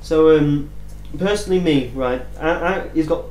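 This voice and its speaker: A man's voice: on the high side, normal speed, a little mellow. A man co-hosting a casual gaming chat show, eagerly laying out his game tactics at length. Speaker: co-host. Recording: echoey room with faint hum.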